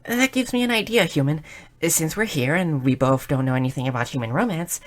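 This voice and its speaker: A woman voicing a bookish, excitable young goblin girl in an audio roleplay, curious, shy and slightly stammering. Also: sits low, fairly quick. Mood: flustered, earnest. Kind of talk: scripted audio roleplay addressed to the listener.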